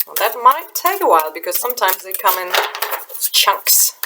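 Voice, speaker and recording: A middle-aged woman from Denmark with a Danish accent, speaking English softly at her webcam, halting and repeating words as she gropes for them. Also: mid-range voice, very animated, background noise. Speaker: spiritual channeler. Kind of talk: casual spiritual vlog talk. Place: small room indoors. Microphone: webcam in front of her.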